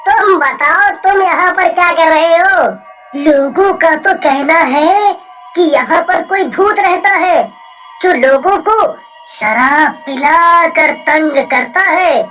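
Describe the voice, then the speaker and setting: Rich: A woman voicing a brave, kind-hearted young villager in a kids' cartoon. He speaks earnestly and plainly in a high voice, with soft music running underneath. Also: slow pace, exaggerated voice, poor audio. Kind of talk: cartoon voice acting.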